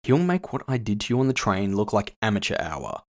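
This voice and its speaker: A male narrator acting out a fierce tusked fighter woman in a cyberpunk audio story. The delivery is blunt and aggressive, set low with a gravelly edge. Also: very animated, brisk. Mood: angry, frustrated. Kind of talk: audio fiction character voice.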